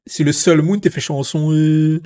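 A man's voice: on the high side, fairly even intonation, unhurried, mellow. A man from Réunion with a Réunion Creole accent, chatting casually and enthusiastically in slang as a livestream host. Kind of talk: casual chat.

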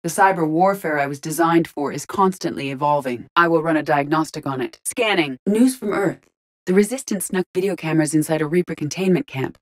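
Low-voiced, precise female android intelligence. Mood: calm, matter-of-fact. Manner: precise, formal, measured, emotionally restrained delivery. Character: calm, logical female ship artificial intelligence in android body. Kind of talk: scripted video game voice acting.

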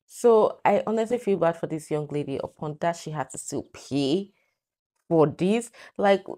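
Brief: chatty young woman host, warm low-ish tone, phone-close sound. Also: lively intonation, normal speed. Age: young adult. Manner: chatty, incredulous. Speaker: reaction host. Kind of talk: casual reaction commentary. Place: small indoor room.

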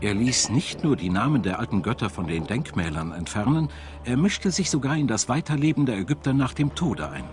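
A male documentary narrator whose pitch moves in a lively way over a music bed. He delivers scripted voice-over at a middle register. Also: brisk. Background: background music.

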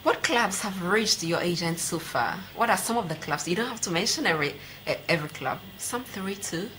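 A woman's voice: fairly low pitch, expressive, a little fast, fairly bright tone. A young woman, putting probing, persistent questions as a TV sports interviewer. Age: young adult. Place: indoor TV studio. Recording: noisy TV studio sound.

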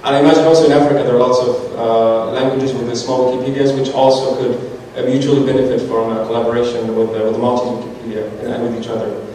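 A man from Morocco, a native Moroccan Arabic speaker, offers a comment from the floor in halting English with frequent fillers. His voice is mellow and dark. The sound is distant and washes around a large reverberant hall. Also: mid-range voice, little pitch movement, slight background noise. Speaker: audience member. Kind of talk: conference Q&A comment. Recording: echoey hall from far off.

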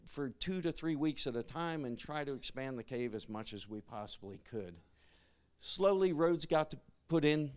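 Man giving a relaxed conference talk. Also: mid-range voice, clean tone. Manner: relaxed, filler-heavy delivery with many restarts. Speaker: conference presenter. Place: conference stage.

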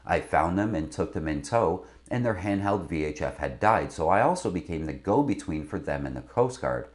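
A man's voice: deep voice, animated, normal speed. A middle-aged man presenting scripted instruction to camera as a sailing video host. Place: indoor studio with branded backdrop. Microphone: lapel mic.

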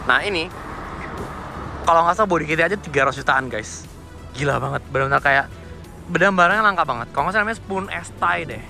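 A man's voice: on the high side, fast, vocal fry, pauses often. A man with a Jakarta Indonesian accent giving enthusiastic, casual vlog commentary, mixing slang with English car terms. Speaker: car vlogger. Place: outdoors at a car meetup. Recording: loud music behind, outdoor vlog audio.

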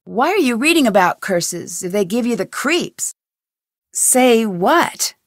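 A woman's voice: fairly high pitch, sing-song, slow, no room sound, clean studio sound, exaggerated voice. An actress voicing a curious, determined young female amateur detective in a video game, asking earnest questions. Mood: inquisitive. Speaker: game protagonist. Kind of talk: studio video game voice lines.

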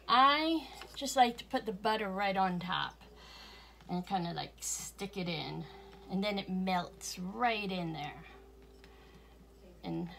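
Woman, relaxed home cooking vlog, unhurried, homey, kitchen noises and clicks in the background. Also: a little high, slight background noise. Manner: homey, unhurried, chuckles often. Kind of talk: casual cooking demonstration. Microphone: fixed camera at counter, speaker moving around.

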